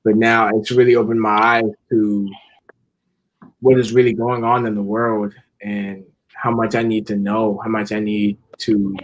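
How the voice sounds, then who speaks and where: A young man, a recent high school graduate, speaking earnestly as a guest in a panel discussion. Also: little pitch movement, unhurried, low-quality recording. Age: young adult. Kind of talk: casual discussion.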